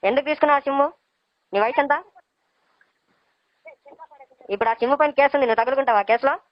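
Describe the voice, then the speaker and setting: A woman's voice: high-pitched, fast talker, dry recording, lightly acted. A woman playing a stern, threatening police officer on a prank phone call, questioning and scolding bossily in a Rayalaseema Telugu accent. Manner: bossy, interrogating. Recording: dull, lo-fi phone call recording.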